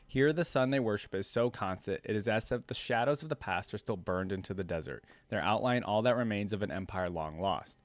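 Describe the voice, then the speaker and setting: A man with a fairly low voice, recorded very dry with almost no room around it. He reads like someone sharing a story with viewers, in an informal and musing way. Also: a little fast, hardly any pauses, no echo. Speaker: reaction video host. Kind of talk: reading a story aloud with casual asides.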